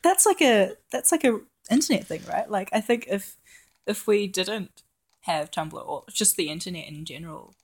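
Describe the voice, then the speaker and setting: A woman's voice: medium pitch, lively intonation, moderate pace, husky. A young woman co-hosting a podcast in casual chat, playful, laughing a lot and acting out quotes. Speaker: podcast co-host.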